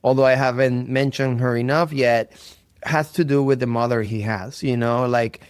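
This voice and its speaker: Clear-voiced Puerto Rican man, earnest. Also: medium pitch, steady tone, moderate pace, no echo. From Puerto Rico. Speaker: interview guest, performing artist. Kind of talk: reflective conversational interview.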